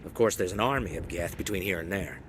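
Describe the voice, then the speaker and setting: An actor playing an earnest, courteous leader of an embattled colony in a sci-fi video game. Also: male voice, quick pace, natural voice. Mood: earnest, weary. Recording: noisy rumbling ambience behind voice.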